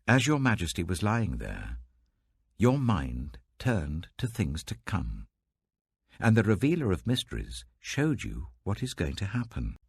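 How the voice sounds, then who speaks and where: A man voicing an earnest, reverent prophet who addresses a king in a grave, declamatory, deliberate way, in an audiobook reading. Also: sits low, wildly swooping pitch, darkish tone, slightly rough, no room sound, studio quality, full character voice. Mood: humble, grave.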